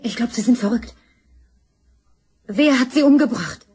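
A woman's voice: a little high, lively intonation, a little slow, darkish tone. An actress playing a wary, indignant woman in a crime radio drama, curt and guarded. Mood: alarmed, demanding. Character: wary, indignant woman receiving a threatening call.

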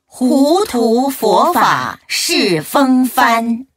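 A woman giving a solemn, measured and expressive recitation of poetry. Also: on the high side, no echo. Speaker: verse reciter.

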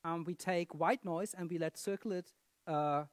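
A woman giving a conference lecture, halting and filling pauses with "uh" and "um", often checking in with the audience with a quick "yeah?". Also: lowish voice, no echo. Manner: halting, many fillers, asks the audience "yeah?".

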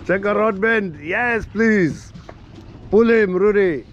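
An older man filming a fishing trip on an open boat, giving excited running commentary and coaching with repeated short calls. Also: high-pitched, slow, muffled recording. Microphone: action camera on board. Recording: noisy boat and sea wind.

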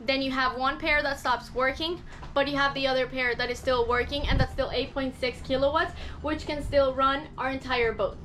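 A woman's voice: sits high, fairly even intonation, normal speed, a bit bright, a little hiss or hum. Chatty woman vlogging on a boat. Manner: chatty, self-doubting, explains with hand gestures. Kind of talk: casual vlog explanation. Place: cramped boat cabin, indoors. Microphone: handheld camera at arm's length.

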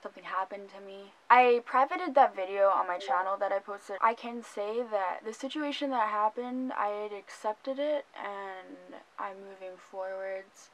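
A young adult woman chatting casually to camera from her bedroom, with soft laughs and fillers. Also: mid-range voice, a little slow, hardly any pauses, rough recording. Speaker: vlogger. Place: small bedroom, indoors.

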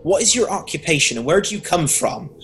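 A man's voice: a little high, animated, a little fast, fairly bright tone. A man playing an anxious sailor in a dramatised scripture reading. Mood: questioning. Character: anxious sailor questioning and pleading. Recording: noisy video-call audio.

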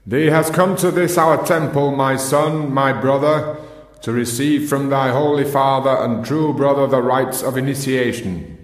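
An actor in a radio play as a solemn Masonic master, speaking in ceremonial, archaic phrases in an echoing hall. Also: male voice, mid-range voice, steady tone, unhurried, natural voice. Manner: ceremonial, incantatory, archaic diction. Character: solemn Masonic master leading an initiation ritual.

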